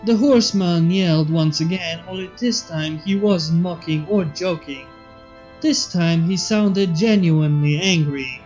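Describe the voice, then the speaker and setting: A woman's voice: on the low side, measured pace, darkish tone. A woman reading fan fiction aloud as a scripted story narrator. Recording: slightly muffled, faint music behind. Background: background music.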